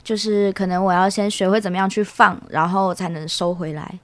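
A woman's voice: mid-range voice, steady tone, brisk, fairly bright tone, a little hiss or hum. A young woman with a Taiwanese Mandarin accent giving casual, reflective answers as a student interviewee. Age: young adult.

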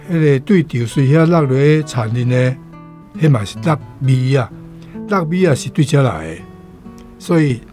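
A man's voice: medium pitch, warm tone. A man with a Taiwanese accent teaching vocabulary conversationally, repeating words as he explains and sometimes reciting folk verse. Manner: explanatory, repeats words, frequent filler particles.

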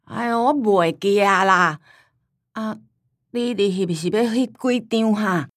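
A woman's voice: medium pitch, measured pace, no echo, clean studio sound, natural voice. An actress playing a forgetful, artless old grandmother with a Taiwanese accent, hesitant and folksy, in a radio drama. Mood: confused, defensive. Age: older.

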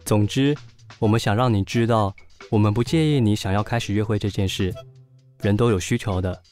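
An actor playing a sensible, reassuring young adult son who reasons calmly, in an audio drama. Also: male voice, fairly low pitch, brisk, soft background music, close to an everyday voice.

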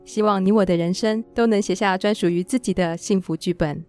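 A female podcast host delivers her questions and scripted narration as a presenter. Music plays softly with her voice. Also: mid-range voice, steady tone, fairly quick. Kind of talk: interview hosting with scripted closing narration.

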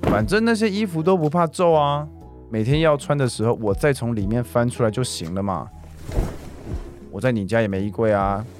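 A man's voice: mid-range voice, expressive, normal speed, over music, close to an everyday voice. A man playing an easygoing, playful young housemate. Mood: playful, unbothered. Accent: Taiwanese Mandarin. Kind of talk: radio drama acting.

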